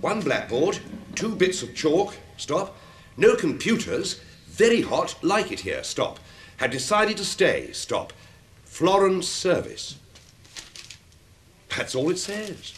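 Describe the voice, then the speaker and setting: A man's voice: high voice, very animated, slow pace, long pauses, heavily acted. A dry, chummy male friend in a British radio drama. His intonation moves a lot in a wry way, with faint noise behind him.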